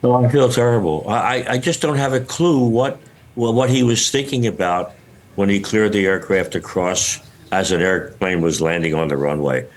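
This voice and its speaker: An older man being interviewed as an expert, explaining things haltingly as he searches for words. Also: lowish voice. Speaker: retired air traffic controller, interviewed expert. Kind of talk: news interview, unscripted explanation.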